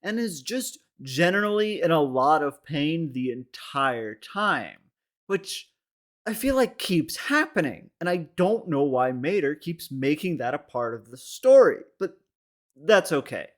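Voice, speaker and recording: A man's voice: fairly high pitch, very animated, measured pace. A man narrating a comedic video essay in a wry, mock-serious way, with exasperated asides. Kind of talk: comedic video essay commentary on animated shorts.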